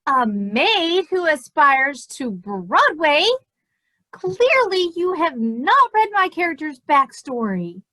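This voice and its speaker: An actress playing a grandiose, dreamy amateur stage performer with a theatrical manner in a web farce. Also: female voice, high voice, sing-song, slow pace, sharp tone, exaggerated voice, poor audio. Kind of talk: farcical web comedy acting.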